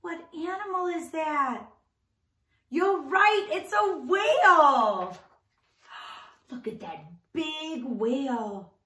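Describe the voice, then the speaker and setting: Female children's read-aloud teacher, thirties, high and bright, sing-song and questioning, recorded in a roomy indoor space. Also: sing-song, very slow, long pauses. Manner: exaggerated enunciation, asks questions and answers them. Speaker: teacher reading a picture book aloud to young children. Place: small indoor room.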